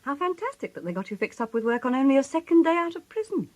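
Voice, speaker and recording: Gushing admiring woman, swooping intonation, high pitch, radio comedy. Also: big pitch swings, fast talker, a little mellow. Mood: delighted. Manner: effusive. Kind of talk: radio comedy drama acting.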